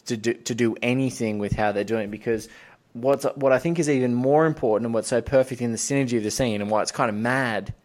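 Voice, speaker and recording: A man's voice: medium pitch, fast, a little mellow. A man hosting a casual film discussion, talking in an enthusiastic, analytical and digressive way. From Australia. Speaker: podcast host.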